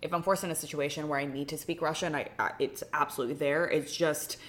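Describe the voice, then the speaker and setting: A woman's voice: sits low, fairly even intonation, fast talker, fairly bright tone. A woman hosting a casual podcast interview, asking questions with many fillers. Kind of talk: conversational interview.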